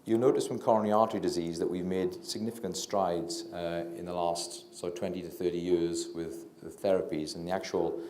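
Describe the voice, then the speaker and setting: A middle-aged man with a Welsh accent and a very deep, mellow voice lectures to an audience through a handheld microphone. He speaks quietly and hesitantly, with frequent fillers and restarts. The sound is roomy, with a faint hum of ambience behind him. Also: fairly even intonation, fairly quick, hardly any pauses. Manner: low-talking. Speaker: physician and researcher giving a public talk. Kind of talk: public lecture. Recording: echoey lecture room.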